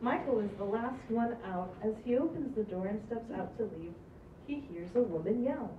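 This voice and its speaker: A woman's voice: fairly high pitch, lively intonation, moderate pace. Distant woman reading stage directions. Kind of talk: live script reading. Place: small stage in a room. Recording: distant, echoey, dull recording with clear noise.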